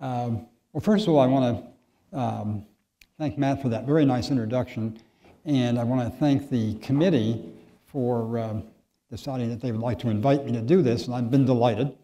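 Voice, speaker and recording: A man in his seventies lectures with a soft, very dark and mellow voice. He talks in a relaxed, conversational way. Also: medium pitch, normal speed. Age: 70s. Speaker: guest lecturer, physician.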